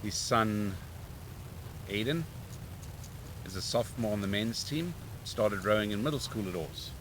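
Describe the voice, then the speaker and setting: A man's voice: lowish voice, unhurried. Big-voiced man calling a regatta. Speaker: regatta commentator. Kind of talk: casual live commentary. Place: outdoors at a rowing regatta. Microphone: standing next to a microphone. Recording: broadcast mic with steady outdoor noise.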